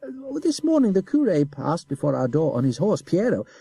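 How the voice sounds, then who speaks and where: Guy doing a cheeky little kid voice, high and sneery, husky and dry. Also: huge pitch swings, normal speed, mellow, dry recording, full character voice. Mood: sly mocking. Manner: sneering, pert. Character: sly young boy, cool and mocking. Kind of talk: audiobook character voice.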